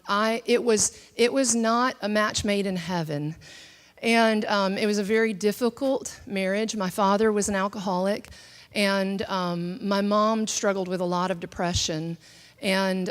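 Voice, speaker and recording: A middle-aged woman speaks at a church teaching session, talking through brain science and her own story. Her delivery is conversational and loose, full of fillers and restarts, and she acts out the thoughts she quotes. Her voice sits at a middle pitch for a woman. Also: clear, resonant tone. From southern United States. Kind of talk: teaching talk mixed with personal testimony.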